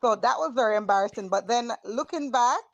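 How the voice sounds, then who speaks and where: A middle-aged woman with a Jamaican accent and a naturally hoarse voice, casually telling a school memory as a guest. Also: on the high side, expressive, a little fast, fairly bright tone, no room sound, lo-fi sound. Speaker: guest, former student. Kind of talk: casual storytelling on a video call.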